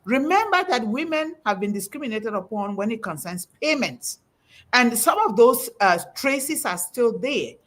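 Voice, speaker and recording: A middle-aged woman with a husky, breathy voice speaks to a panel in an emphatic, preaching manner. Her pitch swings widely as she quotes scripture and presses her points home. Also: sing-song, normal speed, fairly bright tone. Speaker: host. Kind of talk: preaching-style talk on a panel.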